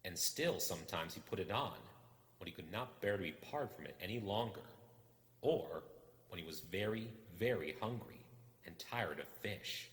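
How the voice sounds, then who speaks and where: A man narrating a suspenseful story in a deliberate, theatrical manner. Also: low voice, lively intonation, fairly bright tone. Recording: echoey room with long reverb.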